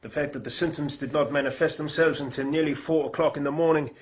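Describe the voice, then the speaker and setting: A male actor performs a formal toxicology doctor in a radio mystery. His delivery is clinical and precise, his voice fairly high. The sound is slightly muffled. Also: steady tone, fast, natural voice. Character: precise, detached toxicology doctor giving evidence. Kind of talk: radio drama dialogue.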